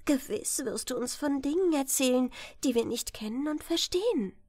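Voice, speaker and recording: A woman voicing a gentle young fairytale princess who speaks in a courteous, formal, soft-spoken manner for a storybook. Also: high-pitched, expressive, normal speed, no room sound, studio quality. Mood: gently expectant.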